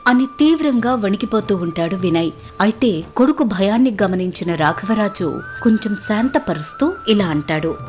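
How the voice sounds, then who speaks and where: A woman's voice: mid-range voice, lively intonation, normal speed, faint music behind. A woman narrating a scripted moral story as the storyteller.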